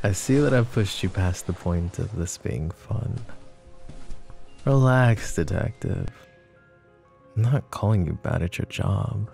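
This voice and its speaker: A man's voice: fairly low pitch, lively intonation, slow, creaky, pauses often, darkish tone, faint music behind, full character voice. A man playing a smug, flirty, possessive mafia boss in an ASMR roleplay, speaking in a teasing, mocking, intimate drawl. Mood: soft, provoking.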